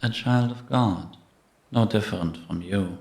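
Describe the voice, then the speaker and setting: A patient, contemplative priest, acted by a man in a cinematic audio drama. His low voice is slow and measured, ringing in an echoey space as he gently probes. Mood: serene, earnest. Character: gentle, patient, probing male priest in a sanctuary. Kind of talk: cinematic audio roleplay acting. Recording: echoey, reverberant room.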